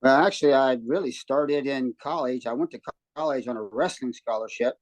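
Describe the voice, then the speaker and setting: A man's voice: medium pitch, brisk, no room sound. Older man from West Virginia, dry sound. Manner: relaxed storytelling with many ums and restarts. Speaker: guest, former runner and coach. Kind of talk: casual interview chat. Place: hotel room.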